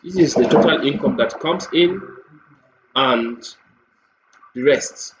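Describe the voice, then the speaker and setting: Nigerian man demonstrating software, dull lo-fi sound. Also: medium pitch, takes it slowly. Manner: step-by-step explaining. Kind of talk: screen-recorded product walkthrough, unscripted. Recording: dull lo-fi mic with faint noise.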